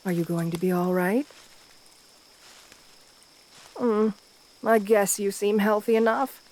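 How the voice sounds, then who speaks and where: A woman's voice: mid-range voice, normal speed, a little mellow, natural voice, rough recording. A woman playing an assertive, flirtatious vampire countess in an ASMR roleplay, speaking to the listener in a genteel, doting way. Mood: doting, coaxing. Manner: genteel, doting, uses pet names.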